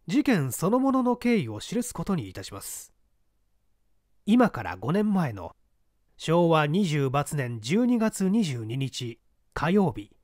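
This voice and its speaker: Male audiobook narrator, young, slightly high-pitched, very expressive intonation, deliberate storytelling. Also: dry recording, studio quality. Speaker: audiobook reader. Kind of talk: scripted literary narration of a mystery story.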